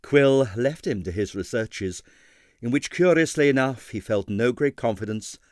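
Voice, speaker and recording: A man reading a comic mystery novel as scripted narration, with a dry, measured storytelling manner. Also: medium pitch, lively intonation, no echo. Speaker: audiobook reader.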